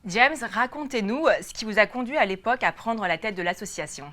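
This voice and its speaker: A woman's voice: mid-range voice, expressive, fairly quick, a bit bright. Polite woman hosting a formal interview. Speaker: TV interviewer and host. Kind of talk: formal scripted interview questions.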